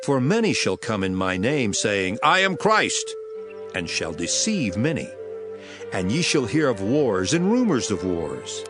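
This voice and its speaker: Authoritative prophet, low voice, lively intonation, solemn, measured, declamatory, scripture drama. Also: male voice. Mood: grave, warning. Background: background music.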